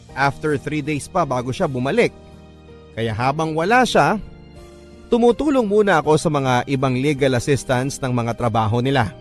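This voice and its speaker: A man with a Filipino accent reading a love story as scripted, dramatic radio-style narration. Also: medium pitch. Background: music.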